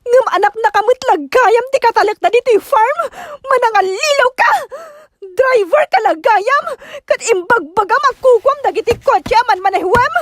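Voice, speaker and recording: An actress playing an excitable, easily angered young woman in an Ilocano radio drama, with a Filipino accent. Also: extremely high pitch, moderate pace, slight background noise. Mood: furious, betrayed.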